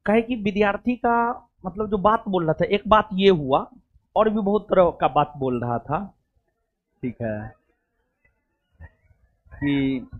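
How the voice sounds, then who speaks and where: A man's voice: high-pitched, phone-quality sound. A man speaks to a coaching class. His talk is loose and chatty. He keeps circling back with little check-ins to the students. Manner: chatty, rambling, repeats "theek hai". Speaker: coaching teacher.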